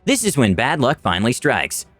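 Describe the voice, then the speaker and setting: A man's voice: mid-range voice, wildly swooping pitch, normal speed, fairly bright tone, no room sound. A man narrating a scripted fantasy adventure story in the first person, with dramatic delivery. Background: music.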